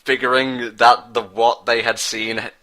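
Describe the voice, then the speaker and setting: A man's voice: medium pitch, little pitch movement, unhurried, bright, forceful tone, poor audio. A man reading a story aloud as narrator, in a mock-dramatic style. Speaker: narrator, fan fiction reader.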